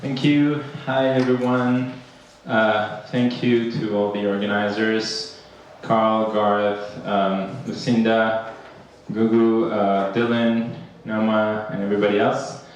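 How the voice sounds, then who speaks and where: Man from Ecuador, academic speaker, halting with fillers, distant mic, faint room hum. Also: on the low side, level tone, lo-fi sound. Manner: hesitant, many fillers and restarts. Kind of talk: conference talk. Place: conference room. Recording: echoey hall, speaker far from mic.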